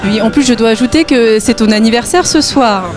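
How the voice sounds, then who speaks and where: A woman's voice: fairly high pitch, fairly quick, over loud music. A woman interviewing for local TV at a concert, asking upbeat, direct questions. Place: concert venue. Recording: crowd chatter at a concert.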